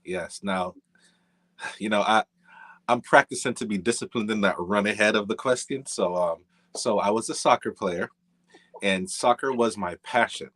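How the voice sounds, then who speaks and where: A man's voice: lowish voice, moderate pace, fairly bright tone, rough recording. A middle-aged man from Jamaica with a Jamaican accent, a jazz musician talking as a guest in an easygoing, rambling way. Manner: easygoing, rambling, with many fillers and restarts. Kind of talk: casual interview chat.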